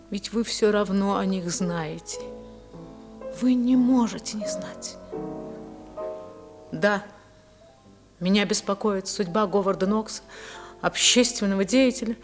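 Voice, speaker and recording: A woman's voice: mid-range voice, natural voice. An actress in a radio play playing a passionate married woman in forbidden love, theatrical and yearning. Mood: pained, pleading.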